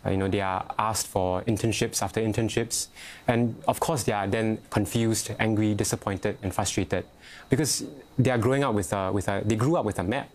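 A man's voice: fairly low pitch, steady tone, fairly quick, darkish tone. A young Singaporean man answering interview questions, hesitant, with many fillers and restarts. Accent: Singaporean English. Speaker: youth organisation head, interviewee. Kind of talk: news interview.

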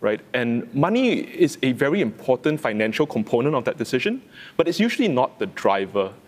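A man's voice: mid-range voice, big pitch swings, brisk. A man, a guest who keeps checking with "right?". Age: 30s. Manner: ends phrases with a checking "right?". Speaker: panel guest. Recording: long hall reverb.

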